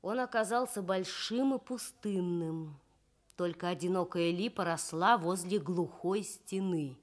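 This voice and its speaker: A woman reading a children's story expressively for 1960s Soviet radio, with measured storytelling. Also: on the low side.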